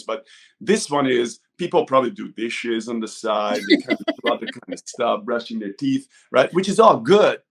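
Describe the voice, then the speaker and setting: A middle-aged man from Hungary with a Hungarian accent, talking informally and discursively as a coach being interviewed. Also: medium pitch. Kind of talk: conversational interview talk.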